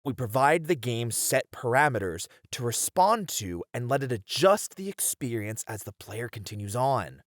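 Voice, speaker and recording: A man playing a hesitant but curious, excitable game developer, speaking casually and expressively in a scripted ASMR horror roleplay. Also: medium pitch, moderate pace, few pauses, no room sound, studio quality, lightly acted. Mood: excited, hesitant. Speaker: voice actor in an audio roleplay.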